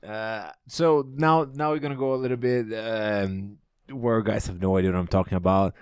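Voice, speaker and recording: Male podcast guest, Brazilian accent, Portuguese native, clear low tone, dry close sound, relaxed conversational style. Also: normal speed, no echo. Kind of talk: casual group chat.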